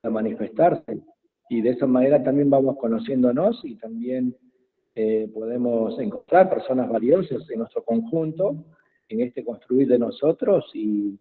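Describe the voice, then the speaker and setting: Quechua-native man, unhurried, halting; tinny call audio with a long reverb tail. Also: medium pitch. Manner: hesitant, many pauses and fillers. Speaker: invited speaker. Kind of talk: slow reflective talk in an online workshop. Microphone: video call.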